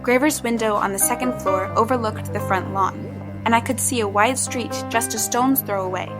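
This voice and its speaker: A woman plays a determined young woman in an audio drama, narrating her own captivity in a measured, introspective voice. Her pitch sits a little high, and a clear music bed runs beneath her. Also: lightly acted. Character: young woman trapped in a man's body, determined. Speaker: narrator and lead character.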